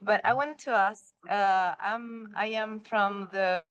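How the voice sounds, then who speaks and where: Slow, halting woman with a Chilean accent. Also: medium pitch, fairly even intonation, a bit bright, muffled recording, a little hiss or hum. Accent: Chilean Spanish. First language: Spanish. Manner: halting, searching for words. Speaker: workshop participant. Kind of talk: asking a question on a video call. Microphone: laptop or phone on a video call.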